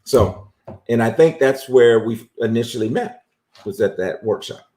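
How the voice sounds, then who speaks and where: A man hosting a live interview show, talking in a relaxed, friendly way with lots of fillers. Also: mid-range voice, unhurried, echoey room. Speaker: host. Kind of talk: casual interview on a live stream.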